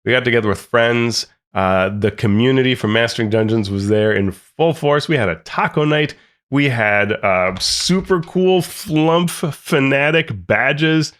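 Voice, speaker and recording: A man's voice: medium pitch, a little slow, fairly bright tone, clean tone. A man co-hosting a podcast in casual, upbeat chat, his voice thick and congested from a cold. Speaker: podcast co-host.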